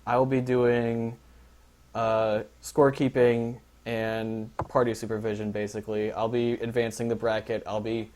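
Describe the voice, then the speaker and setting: A man's voice: mid-range voice, flat delivery, unhurried, darkish tone. A young man briefing drivers on a casual livestream as the league organizer, rambling with lots of fillers and jokes. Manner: rambling, filler-heavy, joking.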